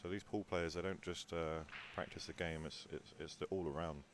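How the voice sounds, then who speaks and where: A man's voice: deep voice, steady tone, moderate pace, noisy background. A man commentating a pool match live, chatty and full of player anecdotes.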